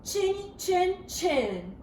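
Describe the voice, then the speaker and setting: A woman's voice: extremely high pitch, very animated, drawn-out words, a little mellow, pitched above the performer's own voice, heavily acted. A woman voicing a plucky, defiant male little pig from a children's storybook, indignant and emphatic. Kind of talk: children's storybook read-aloud. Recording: roomy, distant, faint background noise.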